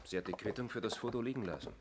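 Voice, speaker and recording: A man's voice: on the low side, lively intonation, racing, background noise, close to an everyday voice. An actor playing a businesslike police sergeant in a German radio play, terse and matter-of-fact as he asks questions. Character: businesslike male police sergeant, the inspector's assistant.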